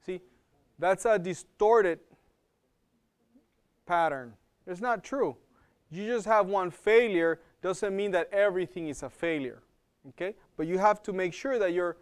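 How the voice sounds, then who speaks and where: Male lecturer with an accent, slightly high and smooth, teaching a live class in a casual, searching way. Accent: non-native English. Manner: conversational, checks in with "okay?" and repeats words while thinking. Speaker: health program lecturer.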